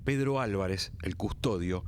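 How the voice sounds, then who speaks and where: A man playing a dutiful, apologetic subordinate policeman in a radio drama, measured and deferential, with an Argentine accent. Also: low voice, darkish tone, a little hiss or hum, close to an everyday voice. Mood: deferential reporting.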